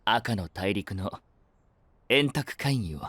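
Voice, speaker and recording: A man playing a courteous, composed young knight who hosts a council in an anime audio drama, speaking gently and formally. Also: on the low side, lively intonation, slow pace, no echo, heavily acted. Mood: formal welcome.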